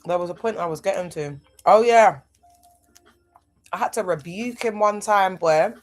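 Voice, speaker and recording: A woman hosting a livestream, talking in a casual, animated way, full of rhetorical asides. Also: mid-range voice, normal speed. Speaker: livestream host.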